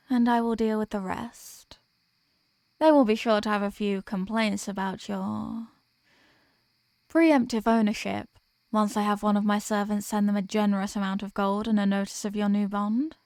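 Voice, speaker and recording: Slow, possessive vampire mistress voiced by a woman. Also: medium pitch, a little mellow, dry recording, clean studio sound, lightly acted. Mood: possessive, soothing. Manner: slow, teasing, condescending, formal phrasing. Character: possessive, dominant female vampire toying with captive prey. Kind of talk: ASMR roleplay, scripted intimate monologue.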